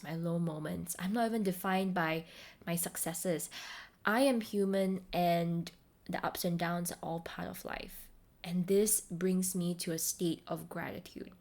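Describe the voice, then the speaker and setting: A woman with a slightly low voice delivers a solo podcast talk as a coach. She addresses the listener directly, in a deliberate and encouraging manner, as if guiding them through self-help advice step by step. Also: a little mellow.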